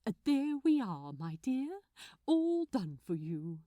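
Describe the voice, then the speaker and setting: A woman's voice: on the high side, big pitch swings, takes it slowly, no room sound, clean studio sound. A woman voicing a polite, affectionate, genteel man who loves wrapping gifts, in a storybook reading. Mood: kindly, pleased. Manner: prim, bright, polite, affectionate.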